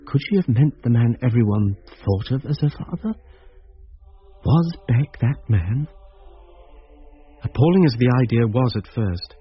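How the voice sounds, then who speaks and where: A man's voice: medium pitch, breathy edge. An actor playing a troubled, reflective man who narrates his story in a radio drama. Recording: slightly muffled radio broadcast.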